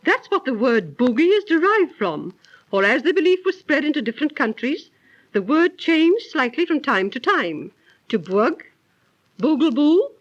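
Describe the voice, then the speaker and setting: An actress playing a proper, precise, genteel aunt who scolds gently and tells tales, 1930s radio drama style. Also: female voice, high voice, very animated, normal speed. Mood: storytelling. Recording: dull, lo-fi 1930s radio recording.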